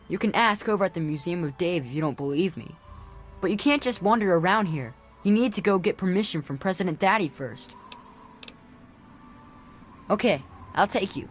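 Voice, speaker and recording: A woman's voice: lowish voice, close to an everyday voice. A woman playing a bossy, sassy young child in a video game, talking matter-of-factly. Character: bossy young child, loyal to president father. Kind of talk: video game character acting.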